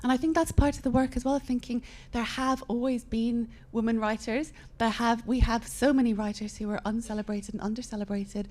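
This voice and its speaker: A woman's voice: a little high, fairly even intonation, fairly quick, few pauses, a little mellow. A woman author, speaking earnestly and reflectively in a live literary panel conversation.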